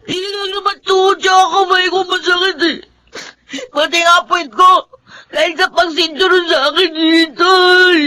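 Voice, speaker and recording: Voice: extremely high pitch, steady tone, moderate pace, bright, forceful tone, poor audio. An actor playing a tearful, pleading young schoolboy in a radio drama, whining and defiant. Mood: tearful, aching.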